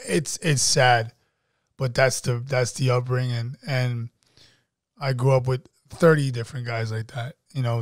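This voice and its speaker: A young man talks in a loose, streetwise way and often stumbles over repeated words. His voice sits at an everyday middle pitch. It is recorded close up on a dry mic with no room sound. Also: clean tone, no echo. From Queens, New York. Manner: streetwise, repeats words and restarts, says "you know" a lot. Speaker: actor guest. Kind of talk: casual interview chat.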